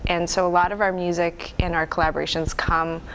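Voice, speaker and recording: A woman's voice: fairly low pitch, level tone, brisk, a bit bright, a little hiss or hum, rough recording. A woman in her forties from the Toronto area, a folk singer answering interview questions with eager agreement. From Toronto area, Canada. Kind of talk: casual interview chat.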